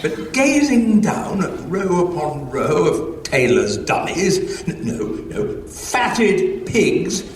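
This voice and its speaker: Pompous, swooping old curator. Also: male voice, sits high, very animated, slow pace, few pauses, echoey room, distant mic, a little hiss or hum, heavily acted. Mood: haughty, bitter. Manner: pompous, scornful, grandiloquent. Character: pompous, cantankerous old male museum curator. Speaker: museum curator. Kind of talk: radio sitcom acting.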